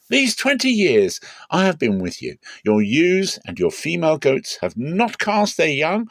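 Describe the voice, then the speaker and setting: An indignant herdsman acted out by a man pours out his grievance in a dramatic scripture reading. His voice is pushed high above a normal speaking pitch, impassioned and declamatory, in a dry, close sound. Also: no echo, heavily acted. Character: aggrieved, hard-working herdsman, indignant son-in-law.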